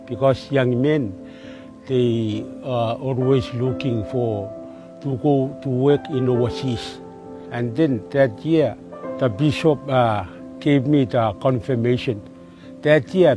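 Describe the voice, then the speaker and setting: A man from Kiribati with a Kiribati accent, a religious brother telling his own story in non-fluent English, piecing it together word by word. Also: mid-range voice. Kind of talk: personal testimony. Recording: music bed behind the voice.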